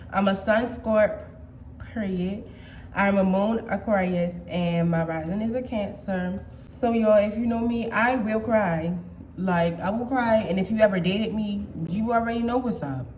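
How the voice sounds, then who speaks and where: A woman's voice: medium pitch, darkish tone, echoey room, slight background noise. A woman in her twenties chatting casually and playfully to her viewers as a vlogger, swearing freely. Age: 20s. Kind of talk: casual chat.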